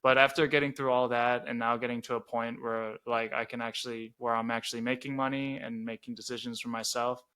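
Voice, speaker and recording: A young man from the Chicago suburbs, co-hosting a podcast in a relaxed, rambling way with lots of "like" fillers. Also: mid-range voice, a little fast, few pauses, smooth. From Chicago suburbs, Illinois. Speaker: podcast co-host. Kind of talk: casual chat.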